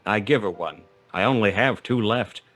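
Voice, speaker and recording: Noir radio play lead: terse, sardonic gumshoe narrator with a low voice and streetwise slang. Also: male voice, moderate pace, fairly bright tone. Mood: wry narration. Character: wry male hard-boiled private detective, narrating his own case. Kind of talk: 1940s noir radio play acting, first-person narration.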